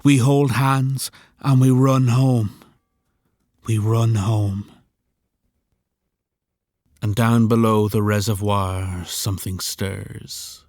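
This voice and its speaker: Slow, sombre male story narrator. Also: on the low side, steady tone, a little mellow, no room sound, clean studio sound. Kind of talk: scripted first-person horror narration.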